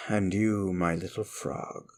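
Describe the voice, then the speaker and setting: A man playing a wicked, contemptuous immortal demon in an ASMR roleplay, speaking in a theatrical, brooding way with a gravelly voice and deliberate pauses. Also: exaggerated voice. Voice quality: low, gravelly. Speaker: audio roleplay performer. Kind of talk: ASMR roleplay monologue, addressed to the listener.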